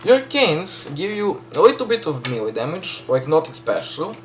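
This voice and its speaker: A man with a Serbian accent casually walking through a game map he built, chatty, full of fillers and quick self-corrections. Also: on the high side, sing-song, slight background noise. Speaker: map creator giving a tour. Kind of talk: casual gameplay walkthrough.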